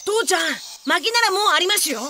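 A woman's voice: extremely high pitch, expressive, fast talker, bright, forceful tone, a little hiss or hum, full character voice. A woman voicing a blunt, mischievous little cartoon boy with a childish lisp. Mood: innocent, blunt. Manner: innocently blunt. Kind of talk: animated comedy acting.

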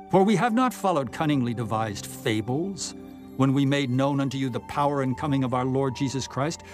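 A man narrates scripture as a dramatized reading. His voice sits somewhat higher than average for a man, and he phrases each clause solemnly, with measured emphasis. Music plays faintly behind him. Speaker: Bible narrator. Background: background music.

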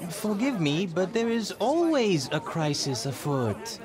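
Pompous fantasy game bard, high voice, swooping intonation, flowery, music behind. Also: male voice, very animated, measured pace. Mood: courteous, hurried. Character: florid, theatrical male bard, grandiose and playful. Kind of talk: video game voice acting. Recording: music and outdoor crowd behind.

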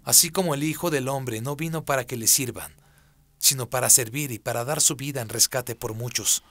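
A man's voice: medium pitch, moderate pace, a bit bright, no room sound. Man playing firm, emphatic prophet. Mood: solemn teaching. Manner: emphatic, solemn, preaching. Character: Jesus, firm authoritative male prophet and teacher. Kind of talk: radio drama acting.

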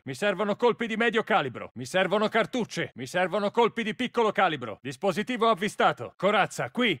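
A forceful, clipped video game soldier. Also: male voice, very high voice, dry recording, clean studio sound, exaggerated voice. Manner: terse, commanding, clipped delivery. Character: tough, urgent Italian special-forces soldier on duty. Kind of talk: military shooter game callouts, clipped phrases.

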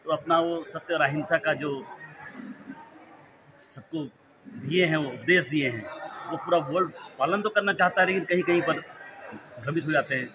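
A man in his forties, with a slightly raised pitch, speaks earnestly into a handheld reporter mic. He rambles a little and mixes in English phrases, and the hum of the hall is clearly audible behind him. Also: moderate pace, long pauses. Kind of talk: news interview. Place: indoor town hall event.

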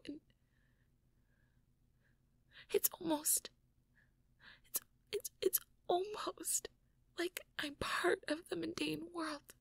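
A woman playing a shy, anxious young mage girlfriend in an intimate audio roleplay, hesitant and stammering in a breathy whisper. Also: very high voice, dry recording, heavily acted. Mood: scared, worried. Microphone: close microphone, dry.

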